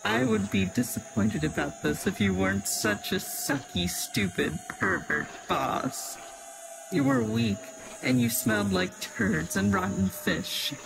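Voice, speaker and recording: High, croaking, sneering villain. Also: male voice, lively intonation, background noise, full character voice. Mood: mocking. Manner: drawn-out, mocking, ominous. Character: sneering, theatrical, menacing game villain. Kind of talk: video game cutscene voice acting.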